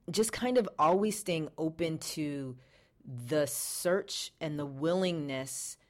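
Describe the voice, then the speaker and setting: Low-voiced woman hosting a podcast. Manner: chatty, reflective, lots of "you know". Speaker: podcast host. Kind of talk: solo podcast introduction.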